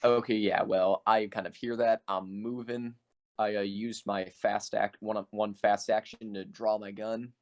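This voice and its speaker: A man playing a tabletop game, chatting casually and hesitantly as he thinks his moves through aloud. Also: fairly low pitch.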